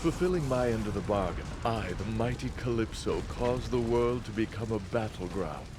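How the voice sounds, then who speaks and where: A man's voice: fairly low pitch, animated, music in the background, natural voice. Grandiose, sly game villain narrator, acted by a man. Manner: grandiose, measured. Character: sly, menacing male contest master and narrator. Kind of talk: theatrical scripted narration.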